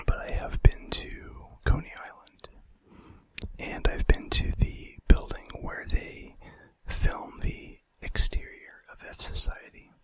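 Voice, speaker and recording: A man doing an informal ASMR fact video in a breathy, unvoiced whisper with a hesitant delivery. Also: takes it slowly. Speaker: ASMR host.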